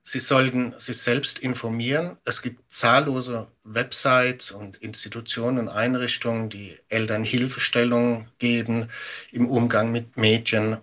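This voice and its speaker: A middle-aged man giving measured, practical explanations as an expert guest in an interview. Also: medium pitch, level tone, bright, forceful tone. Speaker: media education expert, podcast guest.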